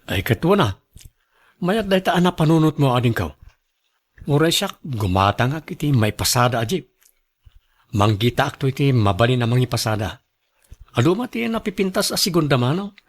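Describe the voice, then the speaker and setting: A man's voice: mid-range voice, lively intonation, normal speed, long pauses, natural voice. A man playing a responsible grown son in a radio drama, firm and reasoning in the way he talks. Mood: agreeable. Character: responsible grown son working abroad, firm with his mother.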